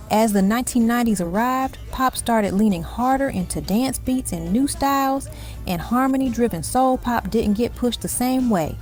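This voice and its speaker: A woman's voice: mid-range voice, a little mellow. A woman reading scripted narration for a music countdown, delivered in emphatic, short punchy phrases. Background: faint music under the voice.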